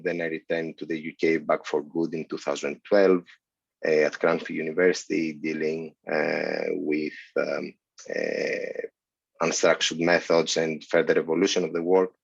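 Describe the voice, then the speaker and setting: A man from Greece speaks through a headset on a video call, his voice very low and unusually flat in tone. He answers steadily but halts often with fillers. The audio is dull and dry, with no room sound around it. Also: gravelly, dry recording. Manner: measured, halting with many fillers. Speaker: guest, senior lecturer. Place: office, indoors.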